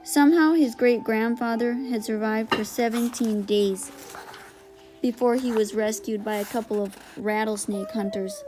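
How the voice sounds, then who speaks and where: A woman's voice: on the high side, measured pace, music in the background, poor audio. A woman narrator reading a children's novel aloud in audiobook style.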